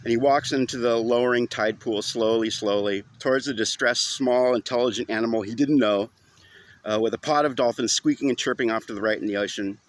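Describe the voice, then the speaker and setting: A man's voice: mid-range voice, fairly quick, no echo. A man narrating a first-person audiobook story in an informal, wry, conversational way.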